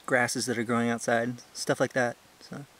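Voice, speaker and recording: A young man casually narrating a hiking vlog outdoors on a trail, explaining things in a relaxed, chatty way with many fillers, recorded on the handheld camera he films with. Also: mid-range voice, moderate pace. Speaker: ant-keeping channel host. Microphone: handheld camera he is filming with.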